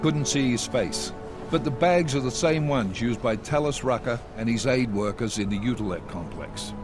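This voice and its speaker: An actor playing a stern, controlled intelligence chief in a video game, clipped and authoritative. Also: male voice, mid-range voice, expressive, normal speed, music in the background, close to an everyday voice. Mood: stern, businesslike. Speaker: agency director briefing agents. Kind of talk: video game cutscene acting.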